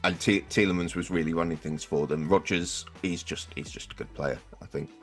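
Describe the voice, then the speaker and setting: A man with a low, deep voice hosts a casual sports podcast. He talks conversationally through his points, with faint music playing behind him. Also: fairly quick. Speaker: podcast host.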